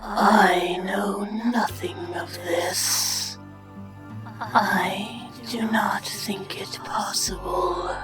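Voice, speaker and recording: Ancient whispering bog spirit. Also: female voice, medium pitch, fairly even intonation, far from the mic, over music. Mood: detached. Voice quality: whispered, breathy. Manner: measured, detached, cryptic. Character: ancient entity of the bog, calm, implacable, otherworldly power. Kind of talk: audiobook character acting.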